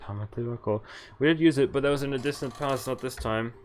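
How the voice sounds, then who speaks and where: A man's voice: mid-range voice, fast talker, a little mellow. Man streaming a game over music. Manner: casual, sweary, incredulous asides. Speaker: streamer playing a visual novel. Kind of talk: reading game text aloud with live reactions.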